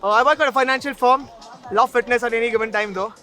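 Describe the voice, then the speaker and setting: A man, a race participant, talking quickly and eagerly outdoors at a race venue. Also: very high-pitched, sharp tone, faint music behind. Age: 20s.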